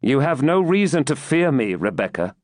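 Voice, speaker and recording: A man's voice: medium pitch, big pitch swings, moderate pace, no room sound, studio quality, voice pushed up, lightly acted. A man voicing a proud, brooding medieval knight in an audiobook, with grave, formal, archaic declamation. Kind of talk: dramatised audiobook reading.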